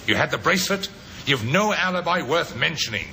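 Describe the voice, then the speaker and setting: Gravelly, firm police inspector. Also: male voice, expressive, a little fast, pushed and bright, heavily acted. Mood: firm, probing. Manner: clipped, pressing, authoritative. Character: shrewd, firm Scotland Yard police inspector. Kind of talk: 1950s radio drama acting. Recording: old radio recording with noise, rumble.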